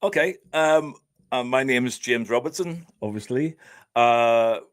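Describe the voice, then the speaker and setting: Man, geordie accent, guest on a podcast, earnest, halting, lots of fillers, mid-pitched. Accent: Northeast England (Geordie). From Scotland. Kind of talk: casual interview talk.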